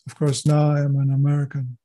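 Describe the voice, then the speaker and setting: Older man, Chilean upbringing, German native, slightly high voice, dark mellow tone, measured formal delivery. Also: monotone. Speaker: guest. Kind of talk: podcast interview.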